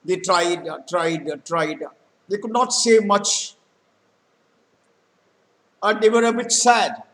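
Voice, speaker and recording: A man telling a folktale in a halting, explanatory way, with frequent hesitant pauses. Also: high voice, level tone, a bit bright, low-quality recording. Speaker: storyteller. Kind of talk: spoken folktale retelling with vocabulary explanations.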